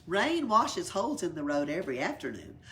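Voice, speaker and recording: A woman's voice: on the low side, big pitch swings, a little fast, fairly bright tone. Woman playing an earnest, emphatic buffalo. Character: earnest buffalo, helpful road mender.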